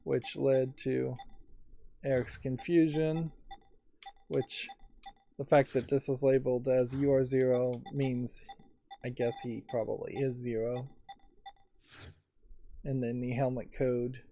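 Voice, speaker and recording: A man's voice: medium pitch, little pitch movement, takes it slowly, gravelly, pauses often, dark tone, slight background noise. A man hosting a let's play, giving casual game commentary in a musing, analytical way.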